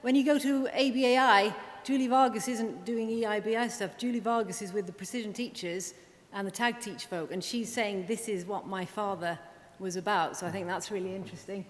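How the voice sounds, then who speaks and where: A woman speech and language therapist giving a chatty conference presentation, full of quick asides and self-deprecating remarks. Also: fairly even intonation. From Wales. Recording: reverberant hall with faint rumble.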